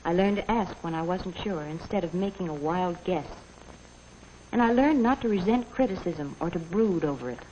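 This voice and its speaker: An actress playing a polite, earnest young American office worker, narrating a 1950s training film in a measured, proper way. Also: female voice, fairly low pitch, expressive, warm tone, slight background noise, close to an everyday voice. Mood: reflective, earnest. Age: young adult. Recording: dull 1950s film soundtrack, music behind.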